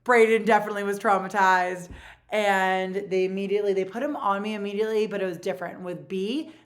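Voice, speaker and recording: A woman in her forties with a low voice, telling a personal story in a casual, animated way with lots of fillers. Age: 40s. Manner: animated, rapid retelling with many fillers. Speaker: vlogger.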